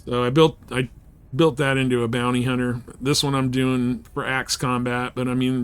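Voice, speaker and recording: A man livestreaming a game, chatting casually in rambling, halting thoughts as he plays. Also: mid-range voice, fairly bright tone. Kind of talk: casual chat while playing. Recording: noticeable background noise and game sounds. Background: game ambience and ship computer announcements.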